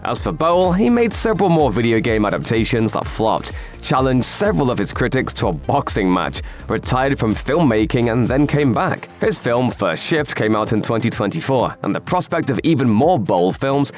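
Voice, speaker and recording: A man's voice: medium pitch, sing-song, normal speed. A man narrating a scripted video essay in a sardonic, emphatic way. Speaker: voiceover narrator. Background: background music.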